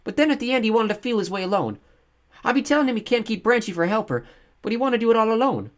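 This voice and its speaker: A man voicing an excitable young boy who talks in rough, broken dialect. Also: sits high, racing, voice pushed up. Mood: excited. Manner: breathless, gleeful, rough dialect grammar.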